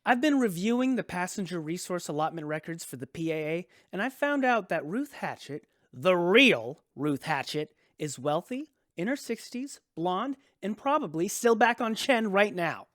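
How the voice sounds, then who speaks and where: A self-important busybody passenger in a sci-fi radio drama. He is officious and suspicious, stressing his points in a light, highish voice recorded very dry. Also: sing-song, normal speed, hardly any pauses, fairly bright tone, no room sound. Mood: accusing. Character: officious, suspicious male passenger activist, self-appointed investigator.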